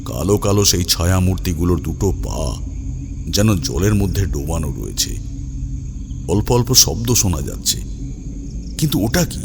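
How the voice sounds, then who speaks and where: A man's voice: low voice, animated, normal speed, pauses often, over music. A man narrating a scripted first-person horror story in a dramatic, suspenseful manner.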